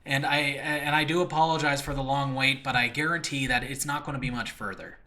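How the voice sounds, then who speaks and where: Male podcaster, bright forceful voice, mid pitch; effusive, rambling solo host who keeps interrupting himself. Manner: excitable, gushing, effusive; rambling, halting, self-interrupting. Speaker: host and creator of an audio drama channel. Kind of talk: casual solo podcast talk to listeners.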